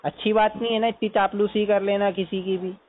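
A man's voice: high-pitched, level tone, brisk. A young man from Nagpur on a heated phone call, insistently accusing and lecturing the other man. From Nagpur, India. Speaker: caller. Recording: tinny phone line with faint hiss.

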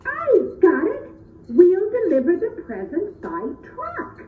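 Very high, eager little elf played by a woman. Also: huge pitch swings, warm tone, heavily acted. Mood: excited. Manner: earnest, exclamatory, drawn-out delivery. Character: eager, excitable young elf helper of Santa. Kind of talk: children's puppet show. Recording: roomy, distant, muffled phone-like audio.

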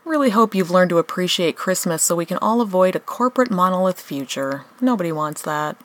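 A woman's voice: fairly low pitch, lively intonation, brisk, close to an everyday voice. A woman playing a chatty, preachy Christmas helper in a radio play. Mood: earnest, pushy.

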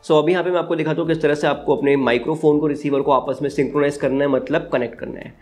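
A man's voice: fairly high pitch, steady tone, quick pace, a little mellow, faint music behind. A man with an Indian accent giving a casual, step-by-step unboxing review as the channel's host. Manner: explanatory, step-by-step.

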